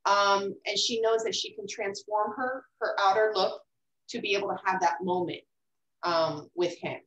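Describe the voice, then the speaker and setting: A woman talks through her writing in a loose, halting way, stopping on fillers and repeating words as she thinks. Her voice sits at a middling pitch. The room around her sounds echoey. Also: fairly even intonation, fairly bright tone, dull audio. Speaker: guest author. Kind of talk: casual interview chat.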